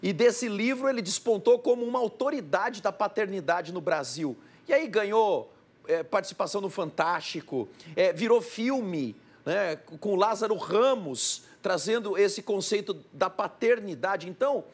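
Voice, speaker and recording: Tv host guy, 40s, kinda raspy and high, really pumped about the lineup. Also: lively intonation, a little slow. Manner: enthusiastic, hyping speakers. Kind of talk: live TV studio interview. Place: TV news studio.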